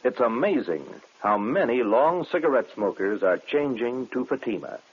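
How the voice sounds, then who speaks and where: A man's voice: mid-range voice, big pitch swings, normal speed, slight background noise. A man with an American accent reading a scripted advertisement as a 1950s radio commercial announcer. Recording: thin phone-like old radio recording.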